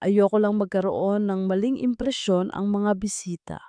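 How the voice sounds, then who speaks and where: A woman's voice: mid-range voice, moderate pace, mellow, no room sound, close to an everyday voice. A woman voicing an ambitious, ashamed young resort employee, guarded and hesitant, in an audio drama. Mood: cold, hesitant. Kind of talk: Tagalog audio drama dialogue.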